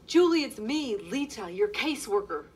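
Woman playing a gentle coaxing caseworker. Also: on the high side, very animated, normal speed, a little hiss or hum. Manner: soft, coaxing, reassuring. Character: gentle, worried female mental health caseworker.

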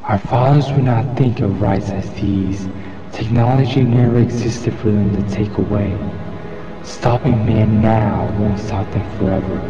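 A man reciting a poem in a solemn, measured, declamatory way. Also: steady tone, over music. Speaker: poetry reader. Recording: muffled lo-fi with loud background rumble.

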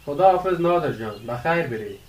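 A man as an animated, curious young villager. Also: fairly high pitch, distant mic, close to an everyday voice, low-quality recording. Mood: friendly. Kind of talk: radio drama acting in dialogue.

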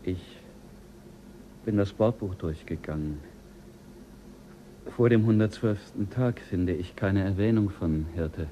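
An actor playing the commanding officer of a spaceship crew, giving urgent orders in a radio drama. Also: male voice, low voice, takes it slowly, pauses often, dark tone, slight background noise. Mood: tense. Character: ship commander Jell, tense and in charge. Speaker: crew leader.

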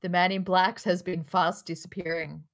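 A woman's voice: lowish voice, flat delivery, moderate pace. A woman reading stage directions as scripted narration over a video call.

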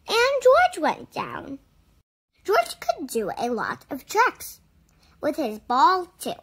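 A woman reading a children's picture book aloud with deliberate, expressive phrasing. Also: fairly high pitch. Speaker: storybook reader.

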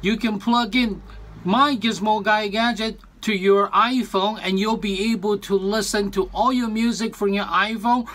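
High-pitched man demonstrating a product. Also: fairly even intonation, measured pace, fairly bright tone. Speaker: product presenter and seller. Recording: music playing behind the voice. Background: music.

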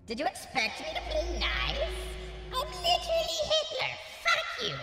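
A flamboyant ghostly tyrant from a parody anime dub, wheedling and smug. The pitch leaps around wildly. The voice rings in a roomy, long reverb with music loud behind it. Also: huge pitch swings, normal speed, heavily acted. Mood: gleeful menace.

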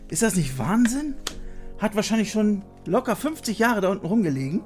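A man's voice: high voice, sing-song, fairly quick, soft background music. A man hosting a retro video show in a room full of collectibles, joking about himself and reading his facts in a casual way. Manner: jokey, self-mocking. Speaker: host. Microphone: studio mic on arm.

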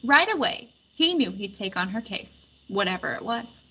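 Bright-voiced woman reading a storybook, slightly muffled. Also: medium pitch, very animated, moderate pace, breathy edge. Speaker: read-aloud narrator. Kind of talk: storybook read-aloud, scripted narration. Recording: dull lo-fi recording with background noise.

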